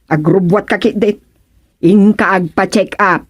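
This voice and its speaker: An actress with an Ilocano accent playing a nagging, practical elderly village wife in a radio comedy drama, scolding and questioning. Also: female voice, medium pitch, fairly even intonation, measured pace, slightly rough. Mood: worried scolding.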